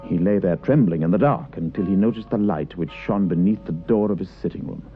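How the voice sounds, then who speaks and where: A man's voice: low voice, a little fast, lightly acted. A man giving dramatic literary narration in an old radio broadcast, with a tinny sound and music behind.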